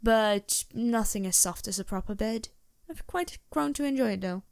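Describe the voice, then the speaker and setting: A woman's voice: fairly high pitch, moderate pace, creaky, clean tone, no room sound, clean studio sound. A woman playing a polite, reassuring vampire merchant in a fantasy ASMR roleplay, speaking formally with small hesitant asides. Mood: genteel, chatty. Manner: genteel, formal phrasing with hesitant asides and soft chuckles.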